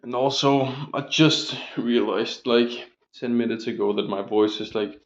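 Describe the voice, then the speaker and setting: A man's voice: medium pitch, fairly even intonation, unhurried, lo-fi sound. A young man rambling casually in a diary vlog, full of fillers and casual swearing, his voice still recovering from a sore throat. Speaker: vlogger.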